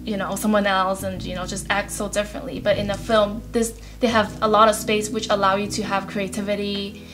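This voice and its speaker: A young woman with a middle-pitched voice speaks in an earnest, measured way. She answers interview questions about acting. Music plays steadily behind her. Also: level tone. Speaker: actress.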